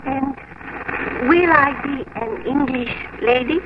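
A high-voiced innocent young jungle girl speaks slow, careful English. The old radio drama recording is muffled and noisy. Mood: hopeful. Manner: halting, careful foreign speech.

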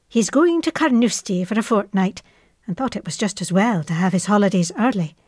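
An actress plays a fond middle-aged mother of the 1940s, reading her letter aloud. She is chatty and matter-of-fact, with a medium-pitched voice in a close, dry recording. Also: lively intonation, brisk, darkish tone, dry recording, lightly acted. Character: affectionate Scottish mother writing to her airman son in wartime.

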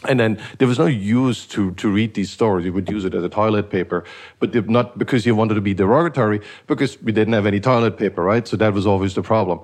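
Podcast host, man raised in Eastern Germany, low-pitched voice, musing and rambling delivery. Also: quick pace, darkish tone. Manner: thoughtful, rambling with many restarts. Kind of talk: casual conversational podcast.

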